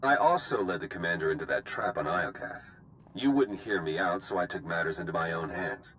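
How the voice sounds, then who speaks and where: An actor playing a roguish spy in a video game, casual, sardonic and persuasive. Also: male voice, deep voice, fairly quick, a bit bright, a little hiss or hum, rough recording. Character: wry, roguish male spy pleading his case. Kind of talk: dramatic video game cutscene acting.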